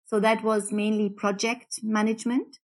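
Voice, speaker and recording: A woman in her fifties from England with a softened Geordie accent, a cruise director chatting as a podcast guest. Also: medium pitch, level tone, normal speed. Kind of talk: podcast interview.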